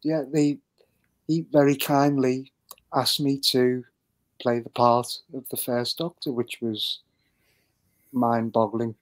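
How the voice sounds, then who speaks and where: A man answers interview questions on an online call. He is polite and modest, with a medium-pitched voice. He talks slowly and hesitantly, often pausing to find his words. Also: little pitch movement. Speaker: guest, amateur actor. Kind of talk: interview chat.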